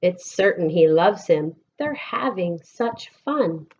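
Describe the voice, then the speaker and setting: A woman with an American accent reads a picture book aloud to children with expressive, deliberate storybook phrasing, like a schoolteacher. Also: on the low side, muffled recording. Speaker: elementary school teacher reading aloud.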